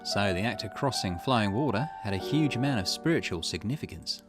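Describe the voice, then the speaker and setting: Guy doing shrewd detective type from a visual novel, lowish animated voice, explains his theories, music behind. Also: a little fast, close to an everyday voice. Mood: explanatory. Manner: conversational, speculative, explanatory. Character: shrewd, theorizing male investigator with an easygoing confidence. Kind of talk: reading visual novel dialogue aloud.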